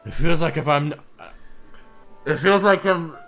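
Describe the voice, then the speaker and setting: Guy reading as a lovesick obsessive shy girl, low hushed and intense, gravelly and a bit hoarse, faint music under it. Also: unhurried. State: hoarse, losing his voice.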